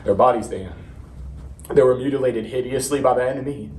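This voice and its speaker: A man playing a haunted young Marine veteran, telling of war in a halting, stammering dramatic monologue with heavy pauses. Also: medium pitch, darkish tone, close to an everyday voice. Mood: horrified, haunted. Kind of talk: serious dramatic interpretation monologue. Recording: roomy, distant mic, faint noise.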